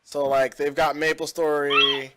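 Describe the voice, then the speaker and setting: A man's voice: fairly high pitch, level tone, measured pace, over a noisy crowd. Opinionated young man rambling on a podcast. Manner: rambling, opinionated, many fillers. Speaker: podcast host. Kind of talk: casual chat.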